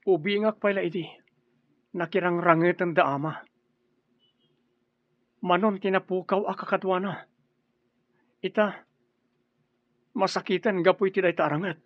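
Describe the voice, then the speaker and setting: A man's voice: high voice, steady tone, measured pace, pauses often, no echo, highs cut off. An actor playing an earnest, respectful, peace-loving young man fresh from graduation in an Ilocano radio drama. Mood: earnest, pleading.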